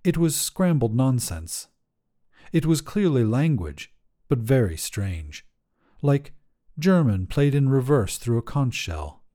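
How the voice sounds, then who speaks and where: A man's voice: medium pitch, very animated, slow, dark tone. A man narrating a scripted short story as a fiction podcast reader.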